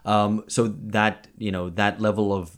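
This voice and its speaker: A man's voice: lowish voice, little pitch movement, unhurried. A man hosting a podcast, explaining a political point in a step-by-step way. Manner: explanatory, builds his argument step by step. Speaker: podcast host. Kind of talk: casual political discussion.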